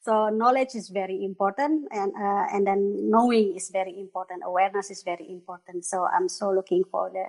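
A woman's voice: medium pitch, steady tone, fairly quick, few pauses, a little mellow. A woman from Indonesia with an Indonesian accent, a guest teacher chatting casually, rambling in broken phrases and laughing often. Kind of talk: casual live-stream chat.

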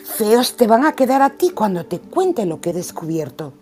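A woman's voice: mid-range voice, very animated, moderate pace, darkish tone, natural voice. A woman playing a bossy, protective stepmother in a radio soap opera. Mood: stern, urgent. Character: bossy, protective Spanish stepmother guarding family honour.

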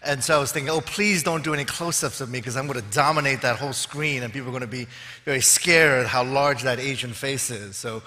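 A man's voice: mid-range voice, a little fast, sharp tone. A man in his fifties preaching to a large audience, joking dryly at his own expense before turning to teaching. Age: 50s. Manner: wry, joking asides to the audience. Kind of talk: sermon with self-deprecating humor. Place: large auditorium with long reverb. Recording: long hall reverb on stage.

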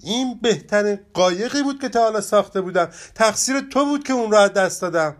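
Man doing young storybook rabbit boy, touchy and proud, pitched up, emphatic. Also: expressive, natural voice. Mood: upset.

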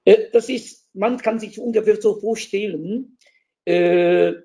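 Man, gravelly mellow voice. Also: sits high, takes it slowly. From Taiwan. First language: Chinese. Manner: hesitant, restarts phrases, searches for words. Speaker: interview guest. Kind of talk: explanatory conversation.

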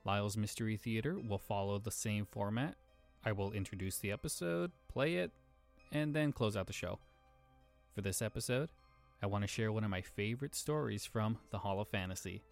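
A man's voice: on the low side, normal speed, vocal fry, faint music behind. A man hosting a podcast, giving a scripted introduction to an episode. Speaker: podcast host.

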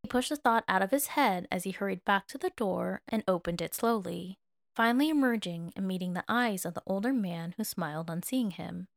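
Female narrator, scripted story reading, measured, expressive, mid-pitched, very dry recording. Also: creaky, dry recording. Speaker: narrator, fan fiction reader.

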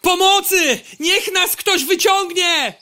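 A woman playing a brash, stubborn female city fly in a comic audio drama, chatty, excitable and pushy. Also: extremely high pitch, slow pace, no room sound, clean studio sound, heavily acted. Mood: panicked, pleading.

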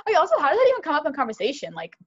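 A young woman co-hosting a podcast, talking fast and animated in casual chat, with lots of "like". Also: high-pitched, dull audio. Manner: fast, animated, heavy use of "like". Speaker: podcast co-host.

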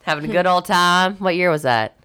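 A woman's voice: on the low side, fairly quick, pushed and bright, clear, resonant tone. A woman hosting a radio show in a studio, chatting bluntly and teasing her co-hosts, laughing often. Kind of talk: casual radio banter.

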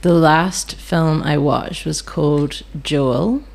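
A woman's voice: low voice, takes it slowly, vocal fry. A woman, a cinema venue manager, chatting casually and hesitantly in an interview. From New Zealand. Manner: relaxed, hesitant, lots of ums.

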